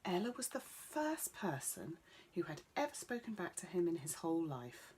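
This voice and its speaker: Bedtime-story narrator: woman, lower register, husky and breathy tone, reading for children. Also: big pitch swings, normal speed, a little mellow.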